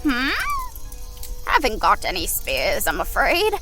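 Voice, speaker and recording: A woman's voice: mid-range voice, wildly swooping pitch, slow, music in the background, rough recording. A woman playing a dim, sweet-natured witch, naive and dithering, in a comic horror audio drama. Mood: puzzled, innocent.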